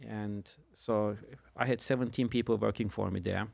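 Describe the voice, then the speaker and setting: Man, middle-aged, gravelly, measured, dry humor, dead-dry room. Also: lowish voice, steady tone, darkish tone, dry recording. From Turkey. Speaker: guest, insurance agent. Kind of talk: casual podcast conversation.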